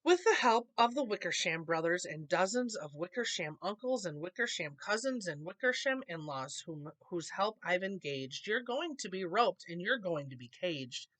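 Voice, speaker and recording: A woman's voice: fairly low pitch, bright, forceful tone, dry recording, pitched below the performer's own voice. A woman voicing a big, bossy, scornful female kangaroo from a storybook, haughty and snappish. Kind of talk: storybook character voice.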